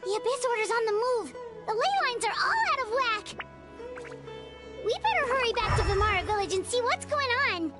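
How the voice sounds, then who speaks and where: A woman voicing a small, chirpy female fairy companion in a video game, talking in an excitable, urgent way. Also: very high voice, fairly quick, heavily acted. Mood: excited. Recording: game audio with music behind.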